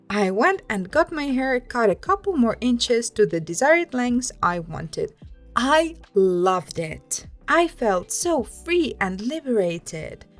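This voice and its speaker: A woman narrating written personal stories aloud as a host, in a scripted storytelling style. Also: fairly high pitch.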